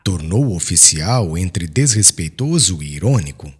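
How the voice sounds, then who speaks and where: A man reading an audiobook as dramatic literary narration, in a solemn, formal and expressive manner. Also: low-pitched, moderate pace, husky. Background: background music.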